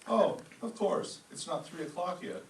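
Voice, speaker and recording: A man playing a brisk, courteous department store owner in a radio comedy. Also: high-pitched, lively intonation. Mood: eager, friendly. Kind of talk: old-time radio comedy acting. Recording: echoey room, distant mic, faint noise.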